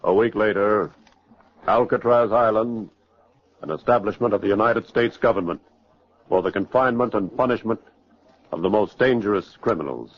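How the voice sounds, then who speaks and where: A man's voice: on the low side, normal speed, pauses often. A man giving grave, formal scripted narration for a 1940s radio crime drama, on a muffled old recording.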